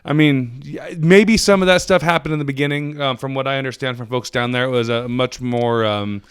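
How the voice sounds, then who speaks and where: Man, young adult, podcast co-host, smooth resonant voice, dry close recording, measured hedging speech. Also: medium pitch, dry recording. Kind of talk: casual discussion podcast.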